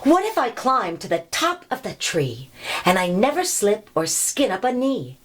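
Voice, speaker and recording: A woman's voice: medium pitch, sing-song, unhurried. A woman voicing a hopeful, upbeat young boy in a storybook read-aloud, asking rhyming questions with confidence.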